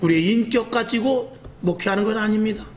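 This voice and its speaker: A middle-aged male pastor with a rough, earthy voice gives a lively evening sermon, acting out quoted speech with self-mocking humor. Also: high-pitched, brisk, slight background noise.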